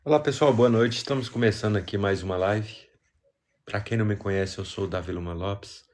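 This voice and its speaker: A man's voice: on the low side, a little fast, a little mellow, slight background noise. A Brazilian man hosting a casual live stream, chatting and greeting viewers while congested with a cold.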